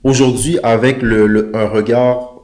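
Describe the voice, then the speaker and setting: A man's voice: mid-range voice, steady tone, a little slow, a little mellow. A young man, hosting a casual interview in an informal, slangy way. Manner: informal, slangy, with filler English. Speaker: podcast host.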